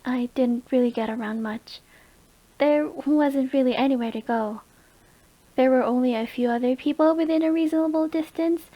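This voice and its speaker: A woman's voice: sits high, lo-fi sound. A woman voicing a shy, overwhelmed young alien from the countryside, hesitant and apologetic, in an audio roleplay.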